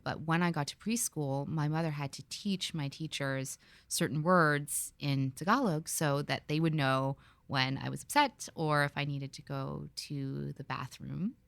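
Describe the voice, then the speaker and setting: A woman with a notably low voice hosts a podcast interview. She talks in a relaxed, reflective way with easy fillers. The sound is dry and close. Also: unhurried, no echo. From Philippines. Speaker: podcast host. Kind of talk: conversational interview.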